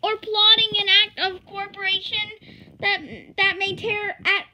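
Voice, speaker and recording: A young reader voicing an earnest, alarmed police chief from a comic storybook, melodramatic and halting on long words. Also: very high-pitched, little pitch movement, sharp tone, slight background noise, pitched above the performer's own voice, heavily acted, poor audio. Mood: alarmed, dramatic.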